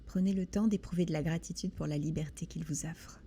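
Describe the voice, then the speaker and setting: A woman leads a guided body scan meditation in a soothing, gentle manner, with deliberate pauses between short phrases. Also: sits low, steady tone, slight background noise. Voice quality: soft, breathy. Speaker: guided relaxation instructor.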